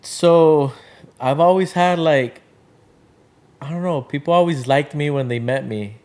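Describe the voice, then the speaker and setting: A man in his thirties from Venezuela, chatting casually as a podcast guest, with a relaxed, rambling manner full of fillers. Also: fairly high pitch, a little slow. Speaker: guest, drink brand founder. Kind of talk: casual interview chat.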